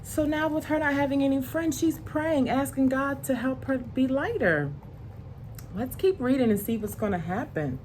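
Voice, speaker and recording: A woman reading a picture book aloud to young children in an expressive, deliberate way, pausing to explain things in simple words. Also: sits high, a little hiss or hum, rough recording.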